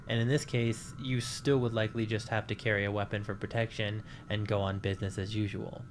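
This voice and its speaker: A man's voice: on the low side, slight background noise. A young man hosting a video essay, narrating from a script in a casual, wry way with offhand jokes and the odd swear. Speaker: YouTube commentary host.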